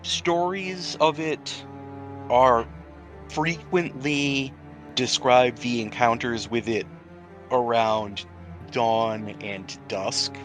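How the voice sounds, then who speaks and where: A man acting as game master, narrating a tabletop role-playing game in measured, deliberate phrases with pauses. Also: medium pitch, steady tone, fairly bright tone, faint music behind.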